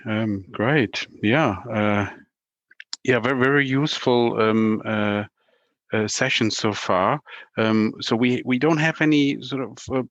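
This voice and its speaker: A man's voice: mid-range voice, slow pace, fairly bright tone, dry recording. A man co-hosting an interview, casual and hesitant, with frequent "uh" and "um". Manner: hesitant, many "uh" and "um" fillers. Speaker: podcast co-host. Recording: dry, dull lo-fi sound.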